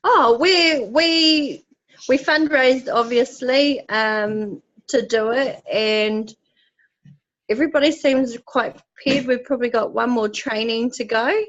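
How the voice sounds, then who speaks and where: A woman from New Zealand's far north with a New Zealand accent, a netball team organiser answering interview questions in a relaxed, friendly way. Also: a little high, slow pace, muffled recording. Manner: relaxed, friendly, some ums.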